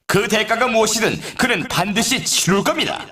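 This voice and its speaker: An excitable male sports announcer for a snowboarding video game. His pitch leaps around with great animation as he draws out names with showy drama. The voice rings with a roomy echo. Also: normal speed. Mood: excited, dramatic. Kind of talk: scripted sports commentary, event introductions.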